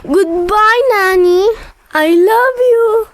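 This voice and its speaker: A woman's voice: very high voice, very slow, dry recording, exaggerated voice. A woman voicing a sweet, clingy little girl attached to her nanny, childlike and wistful, in a radio drama. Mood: wistful, affectionate. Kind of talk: radio drama acting in English.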